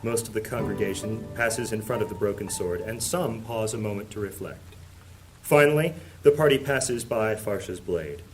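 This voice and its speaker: A man's voice: lowish voice, normal speed, rough recording. A man narrating a fantasy audio drama in a solemn, dramatic storytelling manner. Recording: loud guitar music under voice.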